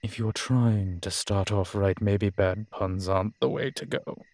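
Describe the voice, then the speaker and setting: A man playing an urbane, centuries-old vampire in an audio roleplay, with formal, measured phrasing and dry condescension. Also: fairly low pitch, fairly even intonation, darkish tone, no echo. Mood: disdainful. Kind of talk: scripted audio roleplay drama.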